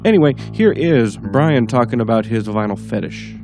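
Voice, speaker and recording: A man with a gravelly, congested voice hosting a podcast in a casual, comedic, self-deprecating way. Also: mid-range voice, expressive, normal speed, over music. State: congested, under the weather. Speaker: podcast host.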